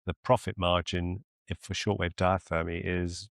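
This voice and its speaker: Deep, creaky-voiced male mentor. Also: flat delivery, normal speed, no echo, studio quality. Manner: reassuring, explanatory. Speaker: medical mentor. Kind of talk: conversational mentoring call.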